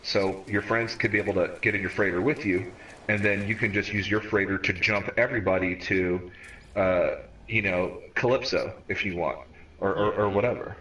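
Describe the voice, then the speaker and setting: A man's voice: deep voice, steady tone, normal speed, fairly bright tone. A man in his thirties, raised in America, hosting a casual gaming stream chat and rambling with repeated words as he thinks aloud. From Cayman Islands, raised in America. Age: 30s. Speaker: stream host. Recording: roomy, distant mic with faint noise.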